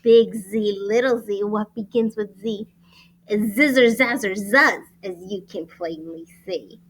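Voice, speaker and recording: A woman teacher reading a picture book aloud to young children in an expressive, sing-song way with deliberate enunciation. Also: medium pitch, slight background noise, rough recording. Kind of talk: animated children's read-aloud.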